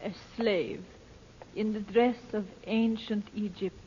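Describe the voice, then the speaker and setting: An actress playing a solemn, fearful Egyptian woman, speaking slowly and gravely in old-time radio drama style. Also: medium pitch, fairly even intonation, dark tone, close to an everyday voice. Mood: hushed awe. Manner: slow, measured, grave delivery. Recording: muffled, hissy old radio recording.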